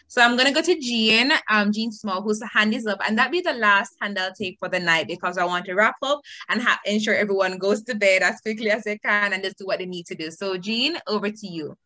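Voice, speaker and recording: A woman's voice: medium pitch, sharp tone, no echo. A young woman in her twenties moderating an online alumni event, upbeat and effusive. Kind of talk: event hosting on a video call. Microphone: video call.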